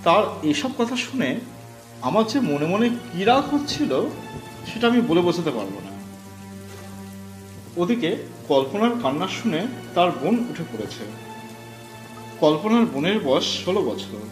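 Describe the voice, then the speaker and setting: A man narrating a first-person ghost story in an expressive, dramatic manner. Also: high-pitched, unhurried, long pauses. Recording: roomy with faint music.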